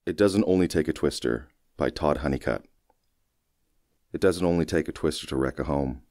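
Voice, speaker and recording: Man, audiobook narrator, very deep pitch, folksy measured storytelling, scripted first-person fiction, dry studio sound. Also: darkish tone, no room sound.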